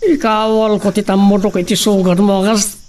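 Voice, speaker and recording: A man's voice: high-pitched, level tone, moderate pace, a little mellow, lightly acted. A man playing a menacing, taunting bandit with an Ilocano accent in a radio drama. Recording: noisy background hiss and chirping.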